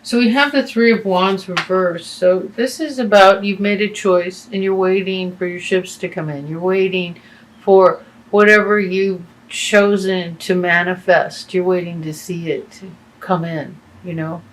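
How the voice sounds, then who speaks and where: Slow, halting woman reading tarot. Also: fairly low pitch, steady tone, rough recording. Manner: halting, many fillers and restarts. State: losing her voice. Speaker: tarot reader.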